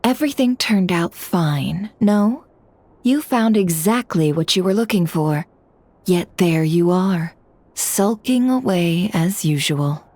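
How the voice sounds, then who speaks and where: An actress voicing a sly, unhurried female information dealer who drawls and teases. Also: lowish voice, animated, a little mellow, heavily acted. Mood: teasing. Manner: drawling, teasing. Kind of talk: video game dub acting.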